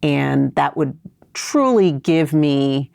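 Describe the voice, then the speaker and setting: A woman's voice: sits low, animated, slow pace, creaky, a little mellow, dry recording, studio quality. A middle-aged woman raised in New York, answering interview questions in a thoughtful, winding storytelling way. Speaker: podcast guest.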